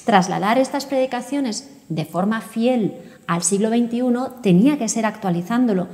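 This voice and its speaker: A woman's voice: on the high side, moderate pace, dark tone. A woman in her thirties hosting an interview, talking in an explanatory, articulate way. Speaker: podcast host, interviewer. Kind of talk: conversational interview. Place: indoor book-lined room. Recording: reverberant indoor room.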